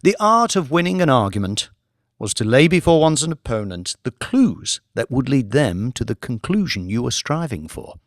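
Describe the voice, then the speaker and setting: A man narrating an audiobook in a measured, expressive reading. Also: mid-range voice, no room sound, clean studio sound.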